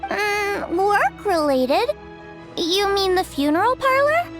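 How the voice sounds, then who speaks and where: A woman's voice: very high voice, sing-song, takes it slowly, heavily acted. A woman voicing a friendly, caring young girl in an anime fantasy game, kind, upbeat and reassuring. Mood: kind, concerned. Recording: music behind the voice.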